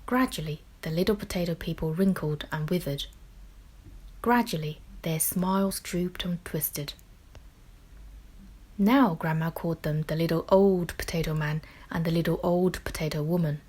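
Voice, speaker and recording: A woman reading a children's picture book aloud in expressive, deliberate narration with dramatic phrasing. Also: fairly low pitch, slightly rough. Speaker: children's story reader.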